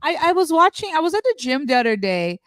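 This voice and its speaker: A woman's voice: high voice, sing-song, fast, fairly bright tone, no room sound, clean studio sound. A woman co-hosting a casual talk show, speaking English as a second language with a mixed accent, blunt and opinionated. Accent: mixed non-native English. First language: not English. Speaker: co-host. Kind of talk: casual chat.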